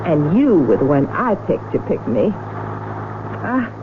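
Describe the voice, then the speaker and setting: Young farm bride, muffled old radio. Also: female voice, low-pitched, very animated, takes it slowly, background noise, exaggerated voice. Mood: teasing, fond. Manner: teasing, folksy. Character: playful, affectionate young farm bride from Texas. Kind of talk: radio drama acting.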